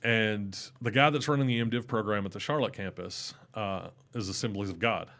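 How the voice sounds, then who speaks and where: Man, loud voice that carries, animated storytelling, fairly low pitch. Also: brisk. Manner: animated, rising in pitch when excited. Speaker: podcast guest. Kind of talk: casual storytelling interview.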